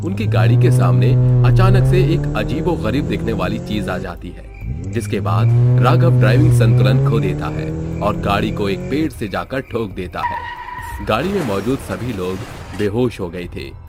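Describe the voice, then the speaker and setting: A man narrating a cartoon horror story in a steady storytelling manner. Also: mid-range voice, unhurried. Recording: background music under narration.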